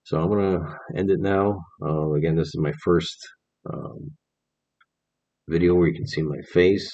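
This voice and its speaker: A man's voice: low voice, steady tone, moderate pace, mellow, lo-fi sound. A man in his forties giving an earnest, rambling talk to camera in a casual vlog, with many fillers and restarts. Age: 40s. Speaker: vlogger. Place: indoors, on camera.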